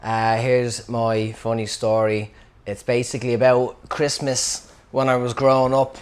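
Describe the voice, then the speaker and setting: A man's voice: mid-range voice, flat delivery, measured pace. A man from Dublin with a Dublin Irish accent, telling a funny childhood story in a wry, rambling, self-mocking way. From Dublin, Ireland. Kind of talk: casual comic storytelling.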